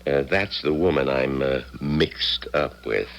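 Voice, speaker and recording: An actor playing a blunt, world-weary doctor with dry, curt delivery in a noisy old radio drama recording. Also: male voice, rumbling low, animated, slow pace, vocal fry, dull audio, exaggerated voice. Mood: uneasy, dry. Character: weary, blunt, stubborn doctor, devoted husband. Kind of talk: 1970s radio drama acting.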